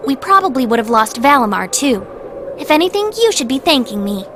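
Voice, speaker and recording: High-pitched sassy talking cat. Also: female voice, brisk, background noise. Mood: exasperated. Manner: indignant, glib, expressive. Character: sassy female talking cat, witches' familiar. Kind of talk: video game voice acting.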